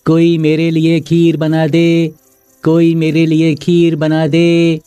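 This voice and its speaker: A gentle child god, acted by a man, calm and kindly in a storybook way. The voice is mellow and a little high for a male, very dry and close, with faint music behind. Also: slow, smooth, no room sound. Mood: pleading call.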